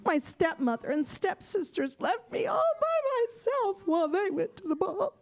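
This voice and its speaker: Woman playing high-pitched, sweet young Cinderella. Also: sing-song, moderate pace, exaggerated voice. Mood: amazed, wishful. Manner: wide-eyed, earnest, exclamatory. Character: sweet, eager young Cinderella, wishing and pleading. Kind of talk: children's audio theater acting.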